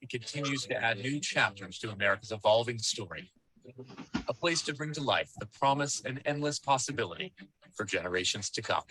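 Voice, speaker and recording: A man reading scripted documentary narration in a solemn, measured and formal way. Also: mid-range voice, animated, sharp tone, slight background noise, poor audio.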